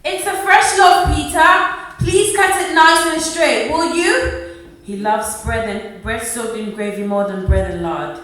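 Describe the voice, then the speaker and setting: Woman as caring working-class mother, radio drama, higher-pitched, polite and earnest, very reverberant, off-mic. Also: measured pace, fairly bright tone. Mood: calling out, teasing. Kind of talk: radio play acting. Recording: distant, very echoey room recording.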